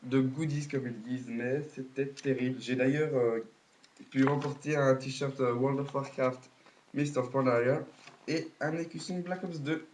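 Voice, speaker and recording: A man's voice: mid-range voice, fairly even intonation, measured pace, a little mellow, slight background noise. Hesitant man with a cold. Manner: hesitant, many fillers and restarts. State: has a cold, coughing. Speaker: video blogger. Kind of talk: casual audio recap.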